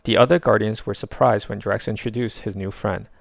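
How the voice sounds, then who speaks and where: A man narrating a children's story in a measured, expressive read-aloud style. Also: on the low side, vocal fry, no echo. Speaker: storybook reader.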